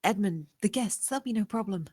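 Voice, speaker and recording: A woman performs a determined young maid in a dramatic table read. She has a medium pitch and a defiant streak. The sound is close, with no room echo. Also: lively intonation, a little fast, darkish tone, husky. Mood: urgent, reassuring.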